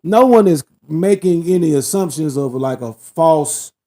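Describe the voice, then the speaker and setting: A man co-hosting a podcast, explaining his views in a measured way during casual debate. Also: a little high, expressive, warm tone. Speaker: podcast co-host.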